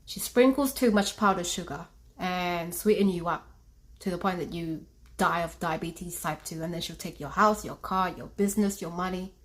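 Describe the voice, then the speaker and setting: A woman's voice: fairly low pitch, normal speed, fairly bright tone. A woman hosting and delivering commentary to her audience. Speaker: host. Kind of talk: video commentary.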